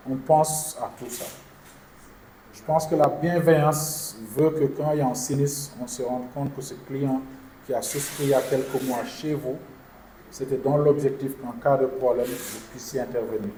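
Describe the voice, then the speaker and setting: A man's voice: medium pitch, a little slow, mellow. Exec guy answering press questions point by point, echoey room with a bit of noise. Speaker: insurance company executive.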